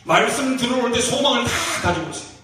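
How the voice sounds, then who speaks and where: A man with a regional Korean accent preaching as a pastor, exhorting emphatically with rhetorical questions and drawn-out key phrases. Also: very high-pitched, animated, pushed and bright, soft background music. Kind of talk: Korean church sermon, exhortation and prayer. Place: church sanctuary during a service. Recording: very echoey church hall, distant mic, faint noise.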